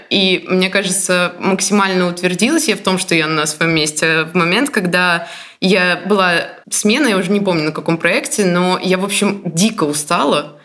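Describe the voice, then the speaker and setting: A woman's voice: fairly low pitch, fairly bright tone. A woman in her late twenties, a costume designer guest, chatting casually and telling stories with frequent giggles. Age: late 20s. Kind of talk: casual podcast chat.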